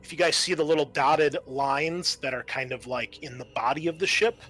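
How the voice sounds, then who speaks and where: A man's voice: on the high side, moderate pace, fairly bright tone. A man acting as game master, explaining a scenario and thinking aloud as he recalls the rules.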